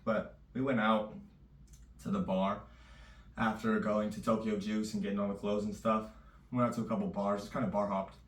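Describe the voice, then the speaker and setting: A man chatting casually in a loose, rambling vlog, his voice a little raspy. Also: on the low side, little pitch movement, moderate pace. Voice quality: slightly raspy. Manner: loose and rambling, full of fillers. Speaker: vlogger. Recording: echoey room, distant mic.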